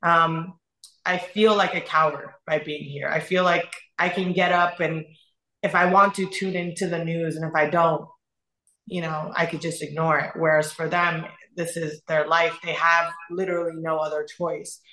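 A young adult woman from Iran speaking as a guest, earnest and halting, often repeating her phrases. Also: low-pitched, level tone, bright, forceful tone, reverberant, poor audio. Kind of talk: emotional personal testimony in conversation.

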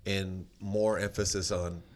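Deep-voiced man, loud and rambling. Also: level tone, slow pace. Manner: rambling, with many restarts and fillers. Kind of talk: casual conversation, storytelling.

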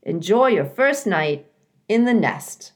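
A woman voicing a busy, helpful male beaver neighbor in a storybook, with a brisk, neighborly manner. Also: mid-range voice, very animated, voice pushed up. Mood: helpful, hurried.

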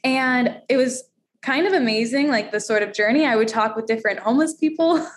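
A woman's voice: fairly high pitch, fairly quick. A young adult woman from Florida, a radio host, chatting bubbly and laughing often in a casual interview. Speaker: radio host and steel drum player.

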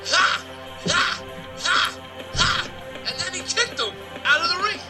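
Man, high swooping voice, comedic news host, mock-earnest, music behind. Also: animated, slow pace. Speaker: host reading wrestling news. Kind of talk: comedic news segment with banter.

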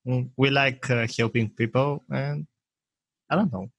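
A man from Bulgaria with a Bulgarian accent, talking as an interview guest in his second language, pausing often to search for words. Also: mid-range voice, steady tone, a bit bright, no echo. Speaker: guest, software maker. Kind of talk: casual interview talk.

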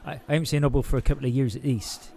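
A man's voice: medium pitch, fairly even intonation, very fast, vocal fry, dark tone, close to an everyday voice. An actor playing a wary, vague man from the streets in a naturalistic audio drama, hesitant, clipped and offhand. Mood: guarded, unhelpful.

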